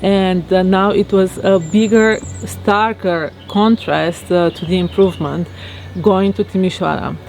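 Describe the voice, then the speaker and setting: A woman from Romania with a Romanian accent, talking reflectively to her viewers in a halting way with many fillers. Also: mid-range voice, steady tone, gravelly, a little mellow. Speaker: vlogger. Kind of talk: casual monologue to camera. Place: outdoors in her garden.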